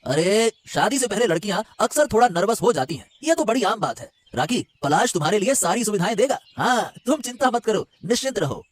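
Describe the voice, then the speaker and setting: A man as an animated, placating household busybody. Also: sits high, brisk, few pauses. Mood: placating, excitable. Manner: excitable, chatty, brushing off worries. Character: meddling, placating male servant of a rich household. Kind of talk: Hindi cartoon story dialogue.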